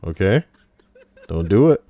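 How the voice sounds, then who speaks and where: Sex: male. Role: streamer. Style: crude, rambling gaming banter. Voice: low. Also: sing-song, measured pace, warm tone.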